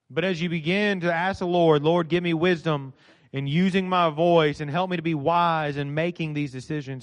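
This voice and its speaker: A middle-aged man preaching a sermon in a church, with a dynamic delivery that swings from loud to soft. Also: a little high. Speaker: pastor. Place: church sanctuary.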